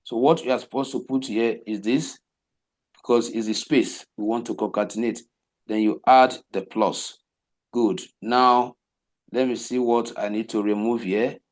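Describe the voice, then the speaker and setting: A man teaching a coding class, explaining each step slowly and repeating himself, often asking rhetorical questions. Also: mid-range voice, fairly even intonation. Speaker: web development teacher. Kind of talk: live coding class walkthrough.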